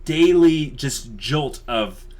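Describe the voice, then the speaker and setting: Male host, average pitch, casual unscripted talk, enthusiastic, emphatic, informal. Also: expressive, takes it slowly, darkish tone. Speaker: host of an online channel. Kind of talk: casual unscripted channel update.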